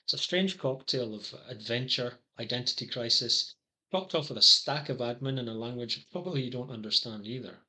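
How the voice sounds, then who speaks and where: A middle-aged man with a Scottish accent and a very bright, ringing voice, pitched in the middle range. He lays out his services in a scripted pitch, slipping dry jokes between earnest points. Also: brisk, rough recording. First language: English. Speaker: psychology consultant. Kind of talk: scripted promotional monologue.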